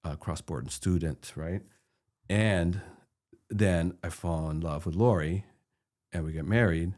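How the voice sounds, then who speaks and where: Man from peru, very low voice, middle-aged, podcast co-host, earnest, probing questions. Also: measured pace, darkish tone. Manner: earnest, asks probing questions, repeats words when starting. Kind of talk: conversational podcast discussion.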